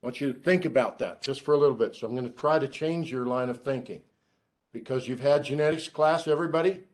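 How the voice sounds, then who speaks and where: Man, middle-aged, seminar lecturer, monotone delivery, dry humor, interactive questions. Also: mid-range voice, quick pace. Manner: calls himself monotone, dry joking asides. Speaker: research scientist giving a seminar. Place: lecture room.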